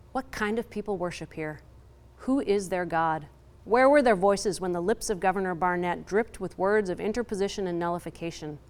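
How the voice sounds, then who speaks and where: A woman reading a historic letter aloud in a public reading. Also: lowish voice. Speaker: reader.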